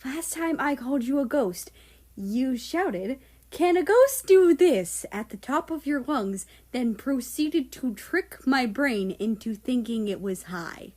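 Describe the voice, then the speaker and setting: Storybook young man read by a woman: cheeky, defiant, sarcastic, smug, pitched up a bit. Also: lively intonation, slow, darkish tone, exaggerated voice.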